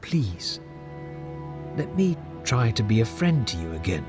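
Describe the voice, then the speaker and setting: A man's voice: fairly low pitch, big pitch swings, measured pace. An actor playing an eager, conciliatory male official who speaks earnestly. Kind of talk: audio drama acting. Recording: music bed underneath.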